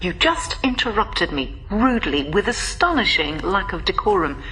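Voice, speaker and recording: An actress in a radio drama playing a prim, ageing woman who watches over a quarantined prisoner, speaking in a formal, measured and solemn way. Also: medium pitch, sing-song, low-quality recording. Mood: prim reproof. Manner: formal, measured, solemn, breathy hushed moments.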